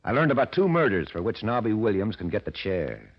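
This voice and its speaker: An actor in 1940s radio style playing a calculating, ambitious gangster boss, cool, boastful and menacing. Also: male voice, fairly low pitch, very animated, brisk, slightly rough, exaggerated voice. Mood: smug scheming. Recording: muffled old radio drama recording.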